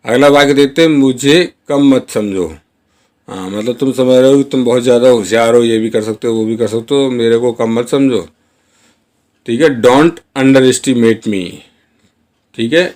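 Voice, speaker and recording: A man teaching spoken English in a chatty, casual way, reading each example sentence very slowly and word by word. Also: medium pitch, darkish tone. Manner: chatty, addresses viewers as guys. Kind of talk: Hindi-medium spoken English lesson.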